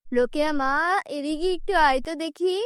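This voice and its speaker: A woman voicing a poor, worried middle-aged village mother in a cartoon, with a gentle, coaxing way of talking. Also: high voice, a little fast, dry recording, studio quality, natural voice. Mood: gentle, apologetic.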